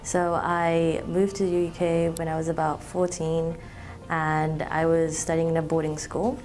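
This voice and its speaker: A woman in her twenties from Malaysia, a student talking in an interview, relaxed, with many ums and restarts. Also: sits low, unhurried, soft background music, rough recording. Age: 20s. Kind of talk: interview testimonial.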